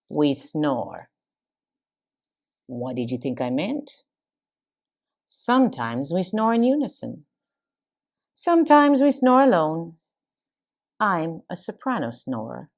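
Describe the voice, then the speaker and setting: An older woman playing an arch long-married wife, reciting a comic verse monologue in a theatrical, measured way. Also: deep voice, full character voice. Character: long-married wife cheerfully confiding about snoring.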